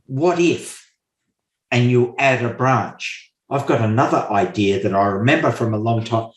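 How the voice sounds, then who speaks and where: A man talks through his ideas in an unhurried, careful way, pausing on fillers and repeating the key point for emphasis. He is recorded in a room with a noticeable echo. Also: on the low side. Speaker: guest expert on memory and mind maps. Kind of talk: explanatory interview talk. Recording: roomy echo.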